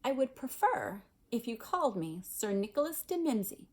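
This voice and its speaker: A woman voicing a stiff, formal, easily miffed old gentleman ghost in a storybook. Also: on the high side, big pitch swings, normal speed. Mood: stiff, irritated. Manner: formal, prim.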